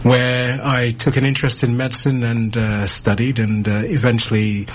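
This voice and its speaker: A man from the UK with a British accent, a vascular surgeon speaking as a radio guest in a studio, measured and polite with frequent fillers. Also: mid-range voice, little pitch movement. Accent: British English. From born in Ethiopia, raised in the UK. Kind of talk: interview guest.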